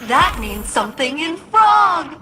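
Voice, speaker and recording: A woman's voice: high-pitched, big pitch swings, slow pace, heavy background noise, exaggerated voice. A woman voicing a prim, analytical artificial intelligence in a video game, precise, formal and politely curious. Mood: intrigued, courteous. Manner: precise, formal, technical wording.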